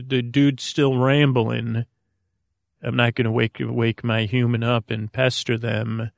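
A man's voice: medium pitch, vocal fry, no room sound, clean studio sound. A man hosting a sleep podcast in a meandering, droning, friendly way, stammering and repeating himself as he rambles unscripted. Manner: friendly, reassuring, goofy. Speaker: podcast host.

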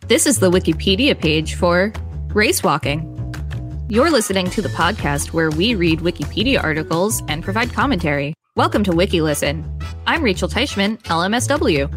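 A woman's voice: fairly low pitch, expressive, moderate pace, soft background music. A woman co-hosting a podcast, reading an article aloud with casual commentary. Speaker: podcast co-host.

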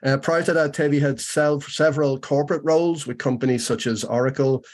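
A man hosting an interview show, reading a measured introduction and asking questions. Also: fairly high pitch, steady tone. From Ireland. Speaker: podcast host.

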